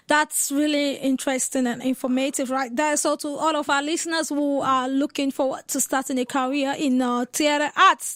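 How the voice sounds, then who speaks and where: Female radio host, high pitch, dry studio recording, chatty hesitant interview talk. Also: fairly bright tone, no echo.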